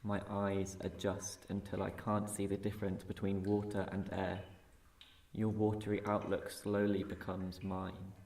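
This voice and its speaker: Low, very even-toned man reciting poetry. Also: unhurried, a little hiss or hum. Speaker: poetry reader. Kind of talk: spoken-word poetry recital.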